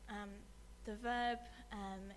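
A woman preaching a sermon, speaking softly with many ums and letting her phrases fade away quietly. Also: mid-range voice, fairly even intonation, very slow, a little hiss or hum. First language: not English. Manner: soft-spoken, many ums, trails off quietly at phrase ends. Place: church hall with a screen.

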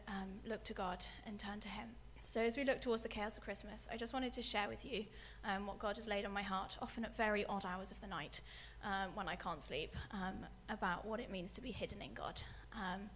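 A woman preaching a sermon, speaking softly with many ums and letting her phrases fade away quietly. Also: medium pitch, a little hiss or hum. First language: not English. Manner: soft-spoken, many ums, trails off quietly at phrase ends. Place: church hall with a screen.

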